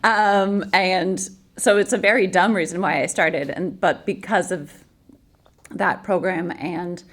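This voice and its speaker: A woman's voice: on the low side, steady tone, slow pace, a bit bright. A woman home economics teacher giving a chatty, self-deprecating interview answer.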